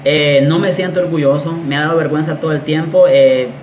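Animated man in his thirties, echoey room. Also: a little high, a little fast. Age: 30s. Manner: animated, gestures a lot, slangy and frank. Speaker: vlogger. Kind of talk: casual storytelling to camera. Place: small bare tiled room indoors. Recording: echoey room, faint background noise.

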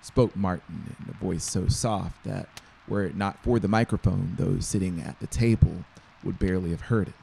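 A man's voice: low-pitched, normal speed, vocal fry, dark tone, a little hiss or hum, rough recording. A man in his thirties narrating a scripted story as an audiobook reader, close on the microphone. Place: small brick-walled home studio. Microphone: close dynamic mic on a boom arm.

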